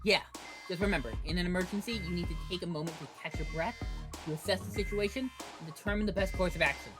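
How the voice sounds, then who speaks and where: An eager young hero, acted by a man in a superhero radio play, earnest and excitable. He talks reassuringly over faint music. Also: high voice, fast, natural voice.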